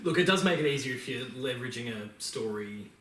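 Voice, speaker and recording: A man's voice: mid-range voice, animated, brisk, a bit bright. An Australian man hosting a podcast, chatting casually about films and games. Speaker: podcast host. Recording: roomy echo, distant mic, faint background noise.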